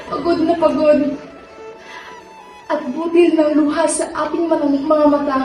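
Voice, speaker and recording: Woman acting a weary young student, high and distant. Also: darkish tone, lightly acted. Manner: earnest, halting, questioning delivery. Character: disillusioned, weary young female student pleading with family. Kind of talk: dramatic stage monologue. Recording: very echoey room, distant mic, music.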